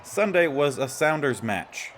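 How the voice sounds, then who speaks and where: A man with a slightly raspy voice giving casual gameplay commentary as the host of a gaming series. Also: on the high side, big pitch swings, a little slow, slight background noise.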